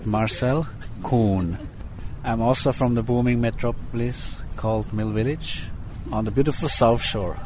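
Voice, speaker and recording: A man from Switzerland introduces himself with a dry, joking touch. His voice is mid-pitched, and a crowd can be heard around him outdoors. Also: measured pace, rough recording. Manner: wry and joking. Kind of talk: casual self-introduction.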